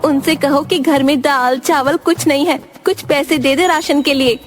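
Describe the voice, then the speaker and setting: A woman's voice: high voice, fairly even intonation, unhurried, soft background music. A woman voicing a bossy, exasperated village wife in a cartoon story, scolding and melodramatic. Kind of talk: Hindi cartoon story dialogue.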